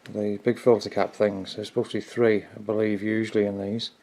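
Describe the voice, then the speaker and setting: A man's voice: fairly low pitch, level tone, fairly quick, creaky, mellow. A man giving casual hands-on repair commentary, informally thinking aloud in a tentative way as he works. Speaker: hobbyist repairer presenting own video.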